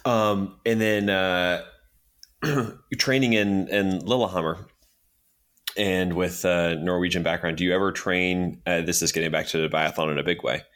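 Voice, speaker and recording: A man's voice: low-pitched, fairly even intonation, moderate pace, fairly bright tone. A man co-hosting a podcast, asking long, multi-part questions with a light joking tone. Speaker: podcast co-host. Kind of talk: casual interview.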